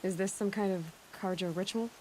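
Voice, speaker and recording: A woman's voice: medium pitch, steady tone, brisk, dark tone, a little hiss or hum, close to an everyday voice, low-quality recording. A woman playing a guarded, blunt huntress. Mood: dryly curious. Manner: wary, dry, questioning. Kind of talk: video game dramatic acting.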